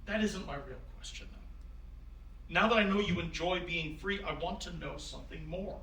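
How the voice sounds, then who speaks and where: Man acting as genial wise founding father, courtly measured phrasing, high bright voice, staged reading, distant roomy recording. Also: gravelly. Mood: earnest, probing. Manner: courtly, old-fashioned phrasing, measured and avuncular. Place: room at a live staged reading.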